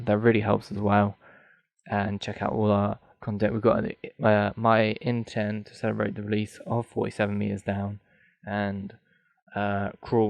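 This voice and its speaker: A man's voice: fairly low pitch, gravelly, darkish tone, no room sound. A man hosting a solo film podcast, rambling and thinking aloud with many ums, his voice getting dry. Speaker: podcast host.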